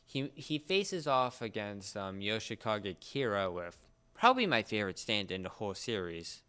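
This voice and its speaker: Young male co-host, lowish smooth voice, casual explainer with halting phrasing. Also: very animated, bright, forceful tone. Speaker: podcast co-host. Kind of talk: casual pop culture discussion.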